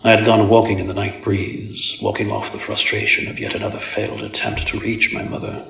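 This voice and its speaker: A man's voice: on the low side, little pitch movement, vocal fry, fairly bright tone, roomy sound, far from the mic. A man voicing a proud, brooding, vengeful supervillain who recounts his youth in grave, formal narration for a comic dub. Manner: grave, deliberate, formal, theatrical.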